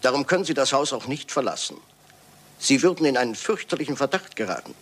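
A man's voice: mid-range voice, sing-song, fast, fairly bright tone, breathy edge. A man playing a shrewd, courteous detective with a dryly ironic, probing manner in a German radio crime drama. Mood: politely probing. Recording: hissy, noisy old radio play recording.

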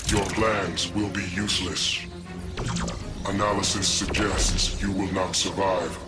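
A man playing a cold, emotionless machine intelligence in a video game, speaking in a formal, menacing deadpan. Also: low voice, slow. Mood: cold menace. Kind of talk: video game villain dialogue. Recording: loud music behind.

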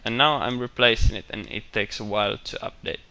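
A man walking through a software screencast, explaining each step in a steady, matter-of-fact way with bits of enthusiasm. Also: lowish voice, brisk, muffled recording. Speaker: software tutorial presenter. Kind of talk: screencast walkthrough narration.

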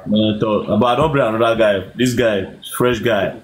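A man's voice: lowish voice, fairly even intonation, normal speed, background noise. A Nigerian man with a Nigerian accent hosting a phone live stream, teasing his guests in pidgin and laughing often. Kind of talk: pidgin casual chat. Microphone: phone camera.

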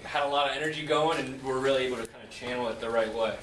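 A man asking informal questions as a sports reporter at a press conference, haltingly rephrasing as he goes. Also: mid-range voice, distant mic. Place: indoor press conference room. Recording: echoey, noisy room, off mic.

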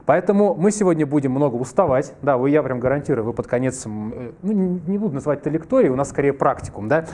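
A man in his thirties giving a live workshop lecture in a hall, lively and conversational, slightly ill and clearing his throat now and then, on a headset mic. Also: fairly high pitch, fast talker. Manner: lively, conversational, jokes with the audience. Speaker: workshop presenter. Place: large wood-panelled hall with a screen.

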